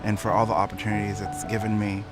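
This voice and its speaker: Low-voiced man graduate over loud music. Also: little pitch movement, fast talker. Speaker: graduating student. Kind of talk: video testimonial.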